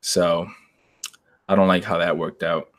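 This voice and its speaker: A man co-hosting a podcast in casual discussion, talking haltingly as he feels out each point. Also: sits low, level tone. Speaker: podcast co-host.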